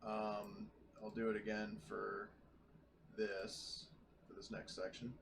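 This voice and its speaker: A man's voice: lowish voice, completely flat delivery, slow pace, creaky. A man playing a tabletop game, chatting casually with the group over a noisy voice chat connection.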